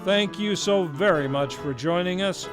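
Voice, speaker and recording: A man's voice: high-pitched, unhurried. A man, the series host, reading the closing credits and announcements of a radio play in a polished, formal announcer manner. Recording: music playing underneath. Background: music.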